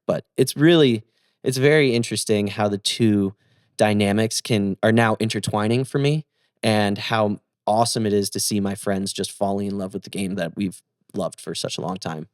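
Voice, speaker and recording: A man's voice: on the low side, normal speed, a little mellow, no echo, studio quality. A young adult man co-hosting a casual podcast chat, talking in an upbeat, enthusiastic way. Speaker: podcast co-host.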